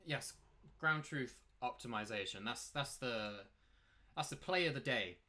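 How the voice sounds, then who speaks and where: Male poker streamer, mid-pitched, bright forceful tone, casual rambling commentary with emphatic rhetorical questions. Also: expressive, measured pace. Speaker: poker player and coach commentating his own play.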